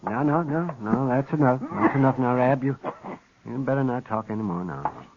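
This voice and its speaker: A protective, fussy town doctor of the Old West, acted by a man. His voice is gravelly and creaky. The recording is lo-fi 1950s radio with faint noise. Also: medium pitch, animated, normal speed, close to an everyday voice. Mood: firm. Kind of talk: old-time radio western acting.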